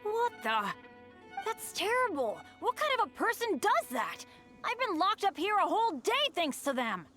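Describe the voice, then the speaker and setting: Excitable high-voiced teen boy adventurer. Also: moderate pace, soft background music, heavily acted. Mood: indignant. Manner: dramatic, exclamatory. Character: Bennett, earnest excitable teenage boy adventurer. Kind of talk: anime-style game voice acting.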